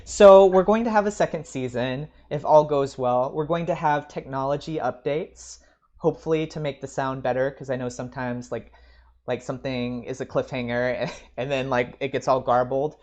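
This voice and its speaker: Male podcast host, casual and jokey, animated conversational delivery, medium pitch. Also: normal speed. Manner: animated, joking, informal.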